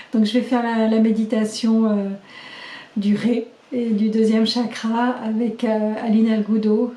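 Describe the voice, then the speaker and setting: A middle-aged woman recording a casual video diary, chatty and halting with many fillers, her voice slightly hoarse as she loses it. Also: fairly high pitch, mellow. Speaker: vlogger keeping a video diary. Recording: echoey room.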